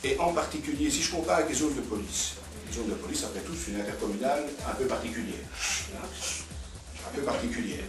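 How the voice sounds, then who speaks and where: A man's voice: medium pitch, fast talker. A man speaking off the cuff as an expert in a debate, setting out his points didactically and repeating words for emphasis. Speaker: invited expert in a political debate. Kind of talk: spontaneous argued commentary in a round-table debate. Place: roomy cafeteria, indoors. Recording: echoey room, distant mic, music behind.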